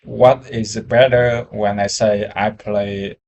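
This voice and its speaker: Slow, hesitant Vietnamese-accented man. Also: fairly low pitch, little pitch movement, vocal fry, darkish tone, a little hiss or hum. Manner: hesitant, searching for words, restarts often. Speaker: English learner. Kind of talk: conversation practice.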